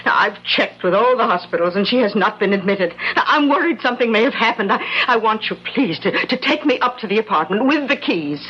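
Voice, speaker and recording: An actress playing a worried, insistent aunt in an old radio drama, speaking earnestly and properly. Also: female voice, a little high, expressive, brisk, few pauses, sharp tone, husky, exaggerated voice. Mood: anxious insistence. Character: worried, insistent aunt searching for missing niece. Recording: muffled, noisy vintage radio broadcast.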